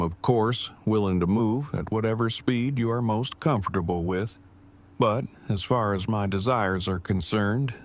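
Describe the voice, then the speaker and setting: A man's voice: lowish voice, close to an everyday voice. A man acting an earnest, wordy boyfriend in an audio drama, speaking in formal, precisely worded, stilted phrases. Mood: hopeful, devoted.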